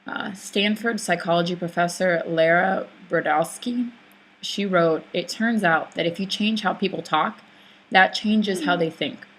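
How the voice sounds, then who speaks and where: A woman's voice: fairly low pitch, a little slow, a bit bright, rough recording. A young woman whose first language is not English, narrating a reflective personal essay, thoughtful, with hesitant fillers and restarts.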